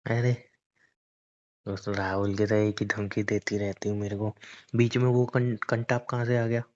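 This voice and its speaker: A man's voice: fairly low pitch, little pitch movement, a little slow, natural voice. A man playing a stubborn, teasing young boyfriend in a romantic phone-call roleplay, argumentative and coaxing in casual conversation. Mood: exasperated, coaxing. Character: stubborn, teasing young boyfriend defending his boys' trip. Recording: dull lo-fi phone call recording.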